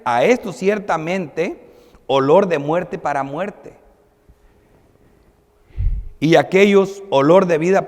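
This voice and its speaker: A man preaching a sermon as a pastor, reading and explaining scripture with emphatic delivery that moves between declaiming and quieter asides. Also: fairly high pitch. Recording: long hall reverb.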